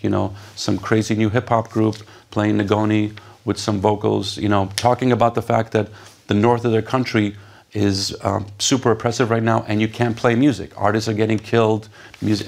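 Gravelly man telling stories on stage. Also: on the low side. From Poland. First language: Polish. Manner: animated, gesturing, conversational with many fillers. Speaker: radio DJ giving a stage talk. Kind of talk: storytelling talk on stage. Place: stage before a seated audience in a dark hall.

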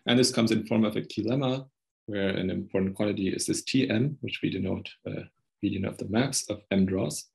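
A young man presenting research in a technical talk over a video call, explaining in a halting, hesitant way. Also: lowish voice, gravelly, a little mellow. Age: young adult.

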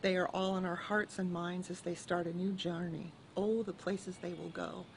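An older woman reading a short message of encouragement to her parish over video. Her voice sits at an average pitch with a gravelly fry, and she reads gently and steadily. There is faint noise in the background. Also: flat delivery, normal speed. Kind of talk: read message to congregation.

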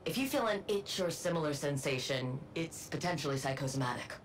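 An actress playing a cold, clinical female scientist villain in a video game, detached and deadpan. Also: sits low, fairly quick, slight background noise.

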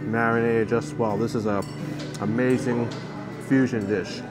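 A man's voice: mid-range voice, fairly even intonation, a little slow, loud music behind the voice. Man eagerly tasting food on camera. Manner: enthusiastic, savoring each bite with "mm". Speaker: food vlogger. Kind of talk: casual food vlog narration. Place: roadside restaurant in a hot desert town.

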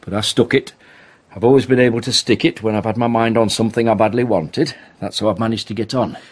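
A man's voice: lowish voice, steady tone, fast talker, slightly rough. An actor playing a hard, bitter self-made man in a radio drama, blunt and scornful, with menace. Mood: resentful.

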